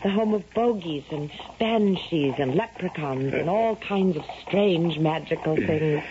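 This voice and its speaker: An actress playing a romantic, excitable young Victorian daughter, breathless and full of wonder, in a radio drama. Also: female voice, lowish voice, measured pace, lightly acted. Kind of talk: 1970s radio drama acting. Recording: dull vintage radio broadcast, faint noise.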